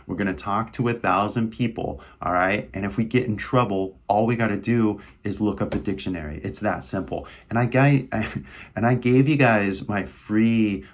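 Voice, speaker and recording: Man, low gravelly voice, husky, casual coaching teacher. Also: normal speed. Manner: repetitive, coaching, many "right?" tags. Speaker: English teacher. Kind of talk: casual video lesson.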